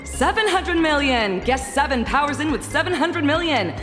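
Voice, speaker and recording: A poised female auctioneer in a video game, calling lots with measured formality and a bright, swooping lift to her voice. The voice rings with a long reverb while faint music plays underneath. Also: sits high, animated. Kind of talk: video game cutscene voice acting.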